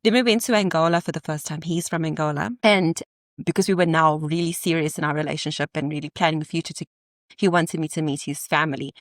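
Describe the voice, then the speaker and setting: A woman in her thirties with a somewhat low voice, recorded close and dry with no room sound. She talks as a podcast guest, candid and animated, and slips in playful asides as she goes. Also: no echo. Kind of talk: candid storytelling in conversation.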